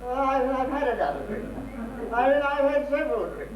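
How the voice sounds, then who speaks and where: A man's voice: very high voice, a little fast, highs cut off. A man playing a maudlin drunk, echoey and distant. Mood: nostalgic, tipsy. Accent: British. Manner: rambling, slurred repetitions, self-pitying. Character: maudlin, rambling drunken man abandoned by his partner. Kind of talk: amateur stage comedy acting. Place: small theatre stage. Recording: echoey, distant stage recording with hum.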